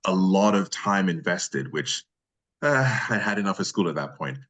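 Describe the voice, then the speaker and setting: A man's voice: low-pitched, lively intonation, normal speed, a bit bright. A man from Nigeria, a voice actor, chatting casually as the guest in an interview.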